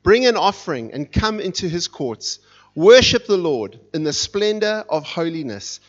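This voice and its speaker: Fired-up preacher man, muffled sound. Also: normal speed. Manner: energetic, fired up, reads scripture with rising intensity. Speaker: guest preacher. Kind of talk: sermon. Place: church sanctuary. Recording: dull, muffled low-fidelity sound.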